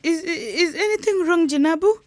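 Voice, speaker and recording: An actress in a radio drama playing a frightened young village wife, faltering and imploring. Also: female voice, very high voice, moderate pace, no room sound. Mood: startled, nervous.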